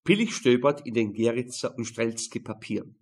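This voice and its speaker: A man's voice: mid-range voice, normal speed, breathy edge. Man narrating a crime audiobook. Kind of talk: scripted narration of a crime novel, with acted dialogue.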